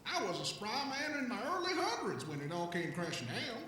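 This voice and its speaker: A man playing an excitable, folksy old alien vagrant in an audio drama, rambling and stammering in a rough, coarse voice. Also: sits high, brisk, heavy reverb, recorded from a distance, a little hiss or hum, exaggerated voice, poor audio. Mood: animated storytelling. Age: older. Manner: folksy, rambling, stammering, talks of himself in third person. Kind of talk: audio drama character acting.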